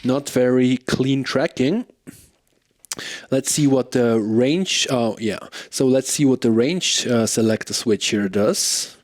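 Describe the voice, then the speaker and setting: Male gear reviewer, hesitant casual talk, bass playing clearly underneath. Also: slow pace. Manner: hesitant, many fillers and tag questions. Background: bass guitar music.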